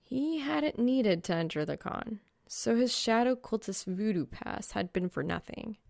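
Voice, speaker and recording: A woman reading fan fiction as an audiobook narrator, expressive and wry. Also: medium pitch, measured pace, gravelly, dry recording, clean studio sound.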